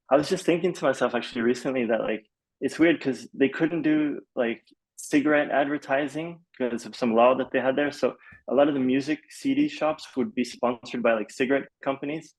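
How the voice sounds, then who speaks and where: A man's voice: mid-range voice, low-quality recording. A man from Malaysia telling stories casually as an interview guest, in a rambling, hesitant way full of filler phrases. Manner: rambling, hesitant, peppered with "like" and "you know". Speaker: podcast guest, film composer.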